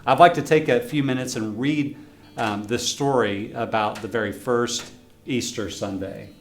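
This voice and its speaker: A man's voice: mid-range voice, measured pace, creaky. Man reading a children's story. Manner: friendly storyteller who asks young listeners questions. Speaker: professor reading his own children's book. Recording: roomy echo with faint guitar music.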